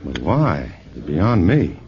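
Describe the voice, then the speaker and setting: An actor playing a shy, self-deprecating scientist in a 1950s radio drama. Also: male voice, sits low, very animated, slow pace, faint music behind, full character voice. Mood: modest, easygoing. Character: modest, bashful male physicist. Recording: muffled vintage radio broadcast.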